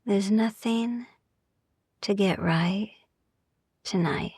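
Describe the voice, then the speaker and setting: A woman guiding a sleep meditation in a soft, gentle, soothing ASMR style, hushed and often slipping into whispers, with long pauses. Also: medium pitch, slightly rough, no echo, clean studio sound. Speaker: sleep meditation podcast host.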